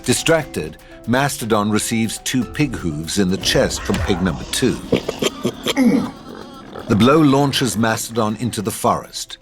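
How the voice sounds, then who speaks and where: A man's voice: lowish voice, lively intonation, measured pace, music in the background. A man reading scripted screenplay narration as the narrator of a table read.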